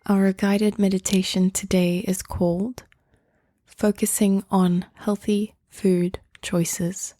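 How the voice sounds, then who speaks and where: A woman hosting a guided meditation in a soothing, gentle, unhurried manner, leaving long pauses between phrases. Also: medium pitch, flat delivery, no echo.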